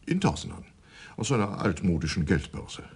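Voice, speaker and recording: An actor playing a smug, greedy society dentist who speaks in a dry, sardonic, drawn-out way. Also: male voice, low voice, sing-song, darkish tone, husky, heavily acted. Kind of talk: radio drama acting.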